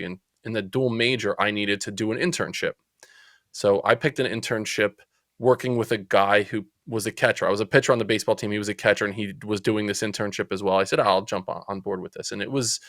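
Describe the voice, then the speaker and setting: A man in his forties with a New Jersey accent, telling stories as a podcast guest in a chatty, lively way. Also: on the low side, dry recording, clean studio sound. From Central New Jersey. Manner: chatty, animated storyteller. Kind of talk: casual storytelling interview.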